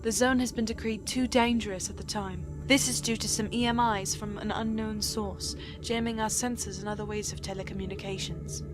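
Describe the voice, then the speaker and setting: A woman's voice: medium pitch, fairly even intonation, a little fast, few pauses, music in the background, lightly acted. A woman voicing a dutiful, precise military sergeant in a sci-fi comic dub, delivering lines formally like a report. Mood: businesslike. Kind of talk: dramatic voice acting in a comic dub.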